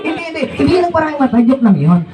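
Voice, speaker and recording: High-pitched, animated Filipino man hosting comedy. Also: racing. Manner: laughs often while talking, repeats questions. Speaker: comedy show host interviewing a performer. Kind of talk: playful street comedy banter. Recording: distant mic with crowd noise.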